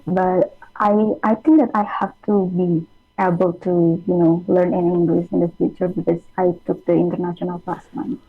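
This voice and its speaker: Young indonesian woman, student, flat husky voice, hesitant, phone-ish sound, bit of music under. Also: on the low side, normal speed. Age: young adult. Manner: hesitant, many fillers. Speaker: student guest. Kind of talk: radio interview answers. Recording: tinny phone line, faint music.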